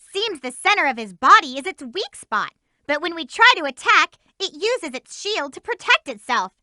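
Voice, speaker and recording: A woman's voice: high-pitched, bright, forceful tone, no echo, studio quality, full character voice. A woman voicing a peppy, eager young female hedgehog heroine for a video game, giving upbeat instructions to her team. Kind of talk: game voice clips.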